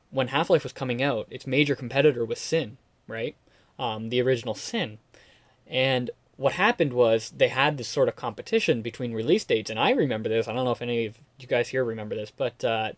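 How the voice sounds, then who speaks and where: A man hosts a casual podcast and rambles through his answers with plenty of fillers. His voice sits at an average pitch, and the room sound is dry and close. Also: no echo. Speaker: podcast host.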